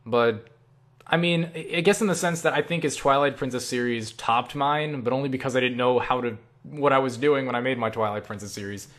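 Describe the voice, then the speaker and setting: Young man, medium pitch, casual rambling delivery, self-deprecating, online creator Q&A. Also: fairly quick. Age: young adult. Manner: self-deprecating, animated asides with acted-out quotes. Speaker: online creator answering viewer questions.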